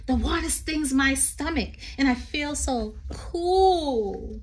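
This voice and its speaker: Slow, high-voiced woman reading to children. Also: lively intonation, slight background noise. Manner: sing-song, drawn-out emphasis with chatty asides. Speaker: teacher reading to her class. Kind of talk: expressive picture-book read-aloud of a poem for young children. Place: small room indoors. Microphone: phone at arm's length.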